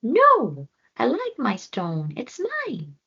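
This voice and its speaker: A woman's voice: huge pitch swings, very slow, bright, forceful tone, breathy edge, exaggerated voice. Storybook boy hero, childlike and firm, dull lo-fi sound, woman reading. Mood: insistent. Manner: high, insistent, childlike. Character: small brave young male jungle hero, stubborn.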